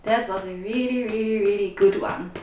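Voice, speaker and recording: A woman's voice: medium pitch, normal speed. A young Dutch woman with a Dutch accent, chatting playfully and self-mockingly in a casual home vlog. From Netherlands. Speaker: vlogger. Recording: echoey room, faint background noise.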